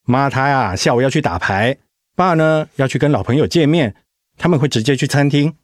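A man's voice: mid-range voice, fairly quick, dry recording, studio quality, natural voice. A man playing a tender, coaxing young husband in a radio drama. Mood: caring. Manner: affectionate, coaxing. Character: tender, doting young husband fussing over his wife.

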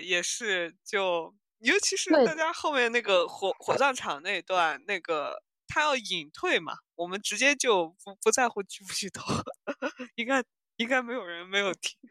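A woman chats casually as a podcast co-host. Her voice is bright and forward at an average pitch, recorded very dry. She talks in a giggly, conversational manner. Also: no echo. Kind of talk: casual chat.

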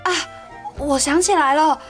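A woman's voice: high voice, moderate pace, soft background music, lightly acted. A woman playing a cheerful, teasing female college freshman in a radio drama, speaking Taiwanese Mandarin in a sweet, playful way.

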